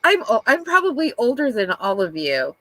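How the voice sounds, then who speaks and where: A woman's voice: fairly high pitch, wildly swooping pitch, moderate pace, pushed and bright, no echo, poor audio. A woman in her fifties, chatting as a guest on a live stream in a bubbly, self-deprecating way. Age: 50s. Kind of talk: casual chat on a live stream.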